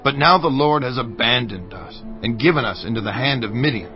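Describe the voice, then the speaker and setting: An actor playing a humble, anxious Israelite farmer, earnest and pleading, in a dramatized scripture production. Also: male voice, mid-range voice, brisk, lightly acted. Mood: doubtful, pleading. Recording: muffled audio with faint music.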